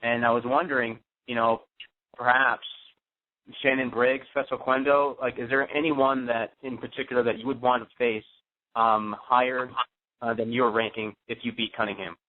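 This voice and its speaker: A medium-pitched man speaks through a muffled, narrow telephone line. He questions politely as a reporter, hesitating often as he forms his phrases. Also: little pitch movement, moderate pace. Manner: hesitant, courteous. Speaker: boxing reporter.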